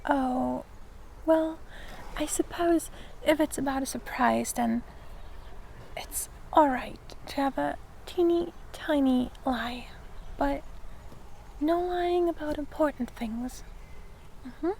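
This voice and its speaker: A woman voicing a gentle, curious fae in an ASMR fantasy roleplay, soft-spoken and often slipping into whispers, hesitant and kindly. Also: sits high, slow pace, exaggerated voice, rough recording. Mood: gently firm. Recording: clear background noise of forest ambience.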